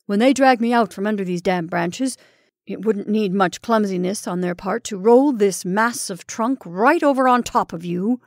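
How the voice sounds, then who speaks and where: A woman's voice: medium pitch, normal speed, no echo, studio quality, natural voice. A woman voicing a snarling, mocking trapped man. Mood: cold threatening. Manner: snarling, taunting. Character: bitter, mocking man trapped under a tree. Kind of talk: storybook reading.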